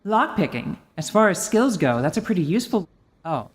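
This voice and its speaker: A woman voicing a calm, protective horned giant woman in a tabletop radio drama, measured and gently dry. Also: lowish voice, slightly rough. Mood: curious.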